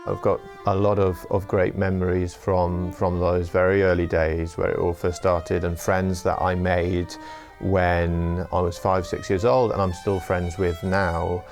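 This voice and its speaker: A middle-aged Welsh man with a very low voice tells his story at an unhurried, measured pace. Soft music sits faintly underneath. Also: level tone. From Wales. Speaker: interviewee, former orchestral violinist turned trader.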